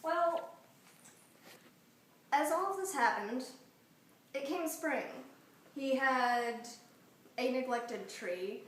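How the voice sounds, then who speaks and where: A woman's voice: high voice, extremely slow, long pauses. A woman improvising a casual comic story in a rambling, conversational way with offhand asides. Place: echoey room, far from mic. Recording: echoey room, distant mic, faint noise.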